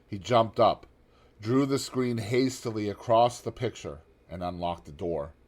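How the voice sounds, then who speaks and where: A man reading a classic novel aloud in measured narration, dropping low and soft on grave lines. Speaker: audiobook narrator.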